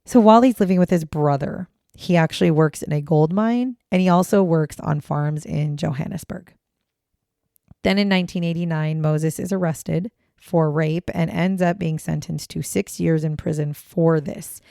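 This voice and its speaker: Hoarse, gravelly woman, low and dark. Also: normal speed, dry recording, studio quality. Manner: chatty, blunt, casual swearing. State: hoarse, raspy voice after choking on water. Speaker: podcast co-host. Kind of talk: casual true-crime podcast chat.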